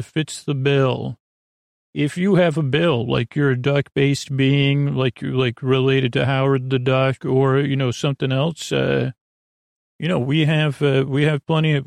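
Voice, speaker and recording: A man with a creaky voice hosting a sleep podcast, rambling in a lulling, soothing way with halting tangents and word fragments. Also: mid-range voice, no room sound, clean studio sound. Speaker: podcast host, bedtime storyteller. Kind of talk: meandering bedtime monologue for a sleep podcast.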